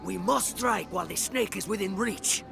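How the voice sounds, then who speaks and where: An actor playing a hot-headed male rebel fighter who shouts for revenge. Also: high-pitched, moderate pace, creaky, music in the background. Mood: urgent. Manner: shouting, vengeful.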